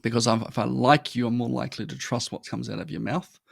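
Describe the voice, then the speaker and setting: A man with an Australian accent, a guest on a marketing podcast who talks in a lively, anecdotal way. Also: mid-range voice, quick pace, gravelly, no echo, studio quality. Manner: animated storytelling, acts out customer voices. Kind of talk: conversational interview.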